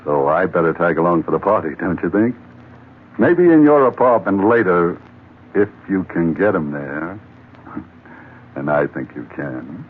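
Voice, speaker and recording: An actor playing a slick, double-dealing sailor in a 1940s radio drama, with smooth, chuckling con-man patter. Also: low voice, lively intonation, unhurried, pauses often, full character voice. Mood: smooth-talking. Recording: tinny 1940s radio broadcast.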